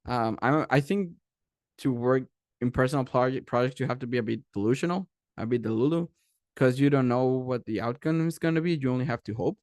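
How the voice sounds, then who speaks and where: A young Mexican man tells his story in accented English, full of "uh," "like" and restarted phrases. His pitch sits in a medium range, and the sound is dry and close with no room echo. He rambles in an animated, casual way. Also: fairly quick. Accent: Mexican Spanish. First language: Spanish. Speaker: guest speaker, animation creator.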